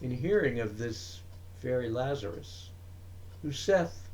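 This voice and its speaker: A man reading verse aloud dramatically, with measured, emphatic and theatrical phrasing. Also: mid-range voice, animated, darkish tone. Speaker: poetry reader. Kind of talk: expressive dramatic poetry reading.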